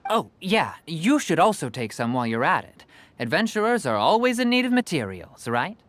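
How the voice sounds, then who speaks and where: A man's voice: on the high side, big pitch swings, moderate pace, background noise. An actor playing a jovial, persuasive merchant with salesman patter, in video game dialogue. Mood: insistent. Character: smooth-talking adult male merchant, jovial salesman. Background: game music.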